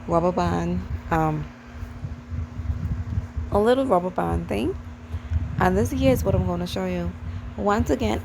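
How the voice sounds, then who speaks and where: A Bahamian woman talks viewers through a hair tutorial in a chatty, repetitive way. Her voice has a gravelly fry to it, and clear background noise sits under the recording. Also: mid-range voice. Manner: chatty, repeats herself, addresses viewers as you guys. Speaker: channel host.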